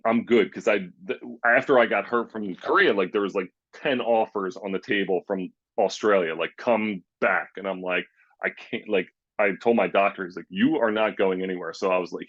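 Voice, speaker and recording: A man's voice: lowish voice, fairly even intonation, normal speed, a bit bright, no echo. A man, a former pro basketball player, telling rambling stories in a casual interview, full of fillers and quoting himself. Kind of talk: casual storytelling. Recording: dull, dry lo-fi sound.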